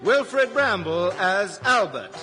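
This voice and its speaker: A man announces a radio programme in a scripted style. His voice is high for a man, and music plays clearly behind him. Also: very animated, slow, a crowd in the background.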